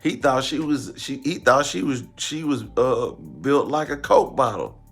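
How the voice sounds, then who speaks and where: A man's voice: medium pitch, expressive, unhurried, fairly bright tone, breathy edge, soft background music. An American man giving casual comedic commentary, slangy and blunt, repeating phrases for emphasis. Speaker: online commentary host.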